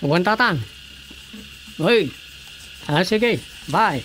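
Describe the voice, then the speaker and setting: A man's voice: sits high, animated, extremely slow, fairly bright tone, faint music behind, heavily acted. An actor playing an everyday family man, a husband, in a radio drama, with an Ilocano accent. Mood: conversational. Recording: noisy track with chirping night crickets.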